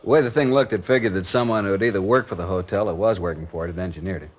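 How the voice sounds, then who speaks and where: An actor playing a methodical American detective sergeant in a 1950s radio crime drama, clipped and matter-of-fact. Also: male voice, low-pitched, racing, a little hiss or hum, lightly acted. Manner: clipped, matter-of-fact, drops subjects from sentences. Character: plainspoken, methodical male detective sergeant from out of town. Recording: dull vintage radio recording.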